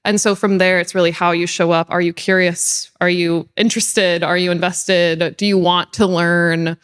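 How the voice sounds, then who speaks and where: A woman answering a panel question in a casual, quick way, with restarts and filler words. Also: fairly low pitch, level tone, fairly bright tone, smooth. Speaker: alumna panelist.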